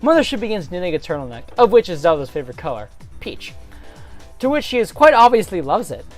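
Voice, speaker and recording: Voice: mid-range voice, big pitch swings, quick pace, faint music behind. A theatrical narrator giving a comedic dramatic reading of a fantasy story.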